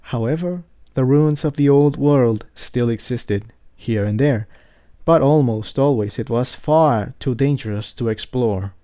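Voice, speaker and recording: A man reading an adventure story aloud as narrator, in a measured, earnest way. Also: mid-range voice, warm tone, dry recording, clean studio sound. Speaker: amateur fan fiction reader.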